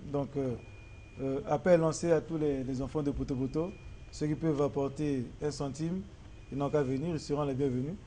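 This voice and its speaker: A male talk show host with a Congolese accent addresses his viewers in a mellow voice. His delivery is halting and hesitant, with repeated words, over faint background noise. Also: a little high, fairly even intonation. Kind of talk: spoken show wrap-up to viewers.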